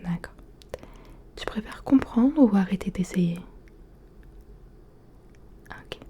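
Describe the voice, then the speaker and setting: A woman whispering softly and closely in ASMR style, asking the listener gentle questions with hesitant pauses. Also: medium pitch, expressive, husky. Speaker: ASMR creator.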